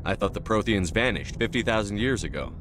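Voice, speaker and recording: A tough, no-nonsense male commander, acted by a man for a sci-fi game. He has a low voice and terse, blunt delivery. Clear ship noise runs behind him. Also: expressive, a little fast, close to an everyday voice. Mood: blunt, guarded. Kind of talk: cinematic sci-fi game dialogue.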